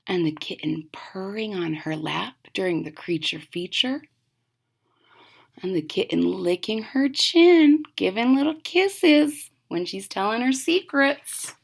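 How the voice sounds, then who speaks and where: A woman's voice: medium pitch, expressive, takes it slowly, creaky, breathy edge. A woman reading a children's story aloud expressively as host, with chatty asides and questions to young listeners. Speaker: storybook reader and channel host.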